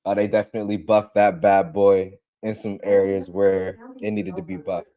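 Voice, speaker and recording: A man's voice: sits low, little pitch movement, normal speed, dark tone. A man hosting a casual tech livestream, a little congested from allergies, repeating himself and greeting viewers by name. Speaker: livestream host.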